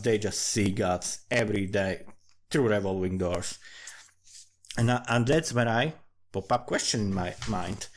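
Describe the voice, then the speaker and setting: A man with a Central European accent giving a casual, rambling, sweary monologue that drifts from one thought to another. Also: lowish voice. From Central Europe, small country. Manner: informal, sweary, digressive. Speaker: amateur fantasy writer recording commentary.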